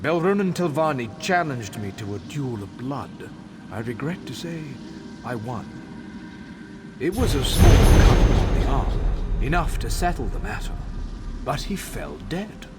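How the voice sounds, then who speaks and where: Grave, formal temple servant, animated delivery. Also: male voice, mid-range voice, measured pace, music in the background. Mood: remorseful, grave. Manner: formal, deferential, earnest. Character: grave, dutiful male temple spy, humbly loyal. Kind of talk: scripted fantasy game dialogue. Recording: game ambience noise behind.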